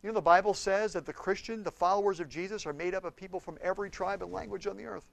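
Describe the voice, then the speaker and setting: Man preaching, fired up then quiet, slightly high voice, dry sound. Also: steady tone, fast, creaky, dry recording. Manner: impassioned preaching, swings from loud to hushed. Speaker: pastor. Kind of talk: sermon.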